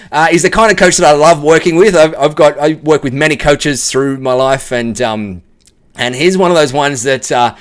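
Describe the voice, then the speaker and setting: An Australian man giving a casual, candid spoken testimonial, chatty and unpolished. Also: fairly high pitch. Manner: candid and chatty, many fillers and restarts.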